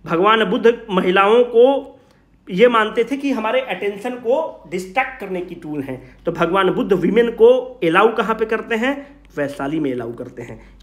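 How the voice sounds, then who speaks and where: Male exam coach, very lively intonation, question-and-answer teaching style, roomy echo. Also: sits high. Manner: animated, rhetorical question-and-answer, addresses students familiarly. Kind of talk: informal exam revision lecture, asking and answering own questions. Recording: roomy, echoing sound.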